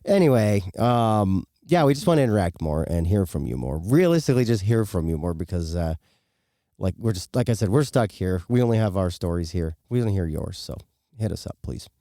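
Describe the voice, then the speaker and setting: Deep-voiced man chatting casually, mellow tone. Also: big pitch swings, brisk, no echo, clean studio sound. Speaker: podcast co-host. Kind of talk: casual chat with listeners.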